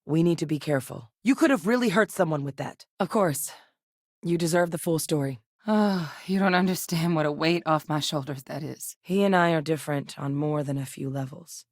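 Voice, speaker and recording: Serious female military scientist from a space game, low pitched, measured and earnest, very dry sound. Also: dry recording. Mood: heartfelt, earnest. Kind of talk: video game character voice lines.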